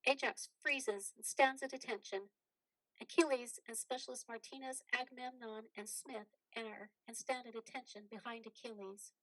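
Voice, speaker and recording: A woman's voice: on the high side, steady tone, moderate pace, a bit bright, dry recording, poor audio. A woman giving scripted narration as the reader of stage directions for a play.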